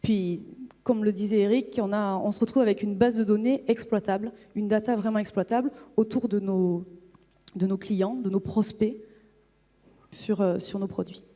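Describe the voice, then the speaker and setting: A woman's voice: mid-range voice, steady tone, normal speed, warm tone. A woman presenting results as a marketing manager at a conference, explaining hesitantly and repeating words as she goes. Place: conference hall on stage. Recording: reverberant hall sound.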